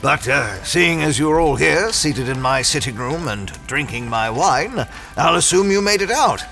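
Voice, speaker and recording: Bright-voiced, hesitant gentleman. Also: a little high, expressive, unhurried, creaky, faint music behind, exaggerated voice. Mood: dry, hesitant. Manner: hesitant, dry, polite. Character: polite, hesitant, dryly sceptical gentleman host. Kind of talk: audio drama acting.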